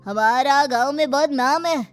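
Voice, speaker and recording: A woman voicing a stern, commanding older male relative in an animated village story. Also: high-pitched, normal speed, faint music behind, pitched above the performer's own voice, close to an everyday voice. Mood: stern, scolding. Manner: reproachful, commanding. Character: stern patriarchal village elder man, indignant about family honour.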